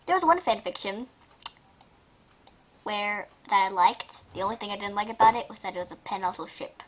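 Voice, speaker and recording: A woman casually reading fan fiction aloud and reacting to it, excitable and informal, often breaking into giggles. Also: mid-range voice, normal speed, a little hiss or hum. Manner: giggly, often breaking into laughter while talking. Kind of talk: casual reaction reading of a fanfic, mixing story and commentary.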